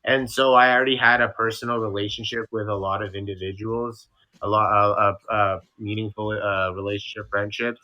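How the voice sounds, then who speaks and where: A man's voice: fairly low pitch, fairly even intonation, brisk, sharp tone, highs cut off. A young man from Canada, a streamer guest chatting in an interview, rambling and restarting with lots of fillers. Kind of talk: casual interview chat.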